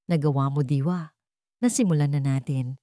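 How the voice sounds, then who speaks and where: A woman acts out a serious male lawyer in an audio drama. The voice is low and mellow, dry and close. The delivery is measured, smooth and reassuring. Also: no echo, lightly acted. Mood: grave concern. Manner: measured, calm explaining.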